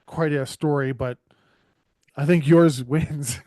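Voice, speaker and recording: A man's voice: on the high side, fairly even intonation, takes it slowly, a little mellow, no echo, studio quality. A man with a Canadian accent hosting a casual interview, talking earnestly in a rambling way full of fillers and restarts. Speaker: podcast host.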